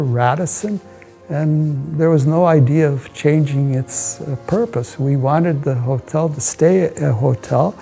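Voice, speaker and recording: An American man gives a promotional interview as a business owner, with soft music behind him. His voice is relaxed and mellow with a noticeable fry. He talks in measured, halting short phrases, as if recalling things one piece at a time. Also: a little high. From United States. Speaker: hotel owner and investor. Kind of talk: promotional interview, personal recollection. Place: indoors, hotel suite.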